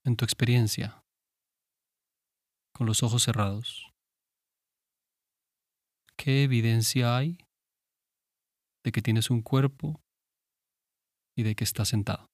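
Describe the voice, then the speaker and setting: A man guiding a meditation in a calm, gentle, unhurried manner with pauses. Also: medium pitch, dry recording, clean studio sound. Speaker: meditation guide. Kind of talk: guided meditation.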